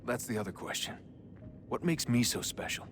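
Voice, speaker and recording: A man's voice: medium pitch, animated, moderate pace, noisy background, lightly acted. An actor with an American accent playing a troubled, earnest young man in a video game, speaking in a hesitant, understated way. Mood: pensive. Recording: music behind the voice.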